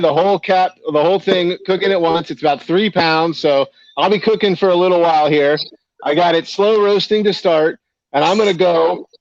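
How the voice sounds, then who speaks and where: A man's voice: on the high side, dry recording. A man on a live cooking show, casually explaining his technique in detail. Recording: dull lo-fi stream audio.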